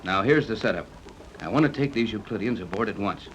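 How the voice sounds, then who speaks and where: An actor playing a confident, decisive ship captain in a 1930s radio adventure serial. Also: male voice, fairly low pitch, expressive, fairly quick, lightly acted. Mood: brisk, commanding. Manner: decisive, clipped orders. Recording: noisy 1930s radio recording.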